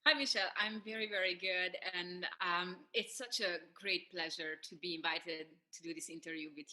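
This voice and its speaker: A woman's voice: on the low side, fairly even intonation, normal speed, pushed and bright. A middle-aged woman with a Hungarian accent, answering interview questions thoughtfully with fillers and restarts. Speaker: pianist and piano professor.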